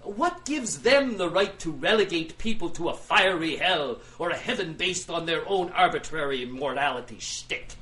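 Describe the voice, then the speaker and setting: Pompous, melodramatic male industrialist, acted, high-pitched with animated swings, grandly pleading his case in a radio play. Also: normal speed, few pauses. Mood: grandiose, pleading. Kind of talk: audio drama acting.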